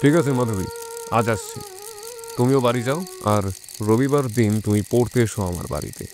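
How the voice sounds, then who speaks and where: Guy playing a kindly persuasive village teacher, soft coaxing manner, radio story acting, crickets faintly behind. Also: medium pitch, moderate pace, slight background noise, natural voice. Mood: gentle, expectant. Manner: gentle, coaxing, earnest. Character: reassuring, persuasive village schoolmaster courting a pupil's trust. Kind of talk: dramatised audio horror story acting.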